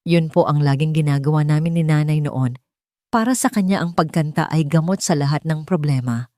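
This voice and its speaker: A woman's voice: deep voice, brisk, dark tone, no room sound, clean studio sound, lightly acted. Shy, respectful young housemaid, read by a woman. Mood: hesitant, wistful. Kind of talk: audio story dialogue.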